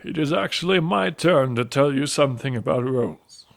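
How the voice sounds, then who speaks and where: A man as measured, mid-voiced priest. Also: mid-range voice, lively intonation, creaky. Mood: earnest, instructive. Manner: measured, explanatory. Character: calm priest confessor narrating a saint's story. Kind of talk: audio drama acting and narration.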